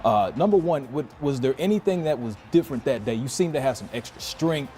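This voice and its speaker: A man's voice: mid-range voice, expressive, fairly quick, mellow, soft background music. A man asking questions conversationally as a sports interviewer.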